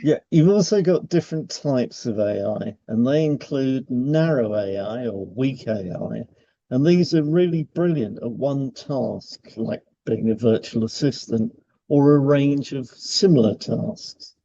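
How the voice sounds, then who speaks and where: Mellow man, slightly dull recording. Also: mid-range voice, expressive, measured pace. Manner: explanatory, chatty asides. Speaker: podcast co-host, hypnotherapist. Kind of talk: scripted podcast narration with informal asides.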